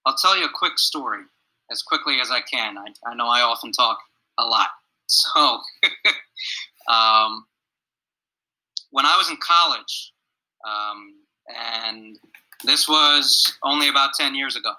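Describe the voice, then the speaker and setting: A man's voice: medium pitch, slow pace, long pauses, bright, forceful tone, rough recording. A young man, a middle school teacher, talking earnestly with his students on a video call and telling a personal story. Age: young adult. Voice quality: gruff. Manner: earnest, reflective, asks students questions.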